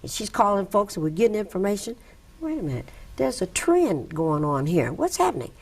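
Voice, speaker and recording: A woman's voice: medium pitch, big pitch swings, a little fast, darkish tone, slightly rough. A woman organizer speaking emphatically in an interview, building her point with rhetorical questions. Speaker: welfare rights organizer. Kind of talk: interview testimony. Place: dark studio, indoors.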